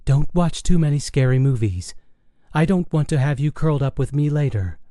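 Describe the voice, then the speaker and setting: A man's voice: a little high, lively intonation, moderate pace, dark tone, dry recording, natural voice. A man voicing a wry, knowing mother in an audiobook, with a dry, teasing manner. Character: wry, knowing mother gently teasing her teenage daughter. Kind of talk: audiobook character dialogue.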